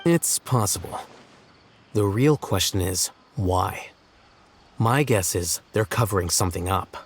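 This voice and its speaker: A man voicing a stern young male desert judge in a video game, speaking in a dry, curt, deadpan way. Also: low-pitched, unhurried, slight background noise. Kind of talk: game voice acting.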